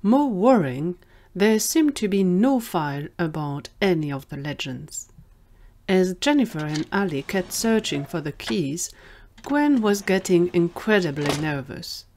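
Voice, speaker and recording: A woman reads scripted fiction as the narrator of an audio drama. Her voice sits a little low for a woman. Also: lively intonation, unhurried, a little mellow, a little hiss or hum.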